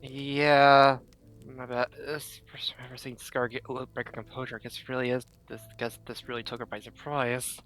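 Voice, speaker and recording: A woman's voice: extremely low pitch, little pitch movement, normal speed, fairly bright tone. A woman casually reacting to a game on a live stream, reading bits aloud and making murmured asides.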